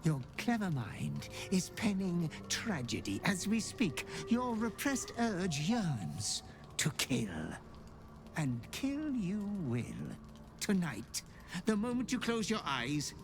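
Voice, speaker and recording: A sinister butler purrs threats in a slow, insinuating drawl. The voice sits high and swoops widely as it lingers over each word. Music plays behind him in this game cutscene. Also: male voice, sing-song, exaggerated voice. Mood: menacing. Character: sinister male butler, silky and menacing. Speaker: game character.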